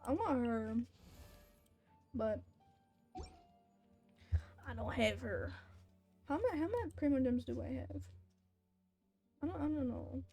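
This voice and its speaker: High-voiced, slow-paced young woman streamer. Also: expressive, mellow. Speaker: game streamer. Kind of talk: casual livestream chat while gaming. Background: faint game music.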